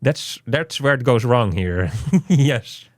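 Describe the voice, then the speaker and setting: A man co-hosting a casual game review, talking in an animated, rambling way with lots of "you know". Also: mid-range voice, takes it slowly, dry recording, studio quality.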